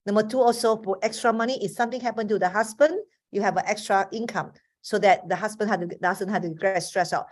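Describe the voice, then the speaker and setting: A woman's voice: medium pitch, fairly even intonation, fast talker. Malaysian woman in her fifties, earnest. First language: Chinese. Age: 50s. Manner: earnest, restarts often. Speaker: webinar speaker. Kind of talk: motivational talk. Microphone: video call.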